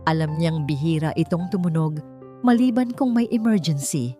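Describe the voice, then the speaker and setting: Low-voiced Filipino woman narrating a dramatic story. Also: normal speed, dark tone. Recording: dry studio voice over background music. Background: background music.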